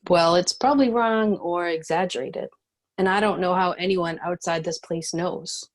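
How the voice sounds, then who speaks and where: Low-voiced, guarded woman ex-cop, acted. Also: moderate pace, natural voice. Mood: wary. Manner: cautious, matter-of-fact. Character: guarded, modest woman ex-bounty cop, tough group leader. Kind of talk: screenplay table read, dramatic dialogue.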